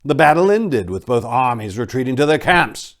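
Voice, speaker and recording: A man narrating a story in a dramatic, theatrical way, with deliberate phrasing and emphatic swells. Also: medium pitch, very animated. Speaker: podcast host and narrator.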